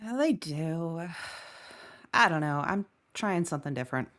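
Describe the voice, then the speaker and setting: A woman's voice: deep voice, expressive, a little slow, fairly bright tone. A woman playing a wry, weary woman who gripes sardonically and swears freely in a conversational audio drama table read. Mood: self-deprecating. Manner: sardonic, swearing, exaggerated griping. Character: Lucretia Evans, wry, griping, weary woman football-game player.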